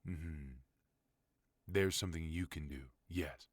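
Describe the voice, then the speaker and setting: A man playing a commanding mafia boss husband in an ASMR audio roleplay, speaking with cold, measured menace. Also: rumbling low, no room sound, studio quality, heavily acted. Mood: cold, threatening.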